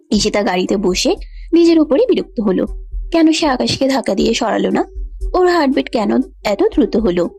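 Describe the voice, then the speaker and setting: A woman's voice: a little high, a little mellow. A woman narrating a scripted romantic story.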